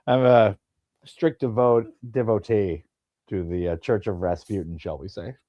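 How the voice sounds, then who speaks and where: A man's voice: fairly low pitch, fairly even intonation, unhurried, warm tone, no echo, lo-fi sound. A man with a slight lisp, chatting hesitantly about football and laughing often. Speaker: podcast co-host. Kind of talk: casual football chat.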